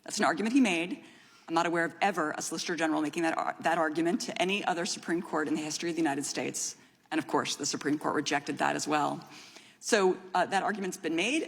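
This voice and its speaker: Female expert witness, formal and assured, husky with some fry, explaining the law firmly. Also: deep voice. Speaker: expert witness at a legislative hearing. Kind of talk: formal testimony, legal explanation. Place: large indoor hearing room. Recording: reverberant hearing room.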